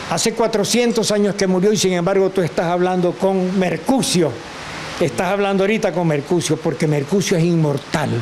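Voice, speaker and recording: An older man with a Venezuelan accent, a guest telling animated stories and acting out the voices of people he quotes. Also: a little high, a little hiss or hum. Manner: expressive, acts out quoted voices, laughs often. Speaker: guest, actor and teacher. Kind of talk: animated storytelling.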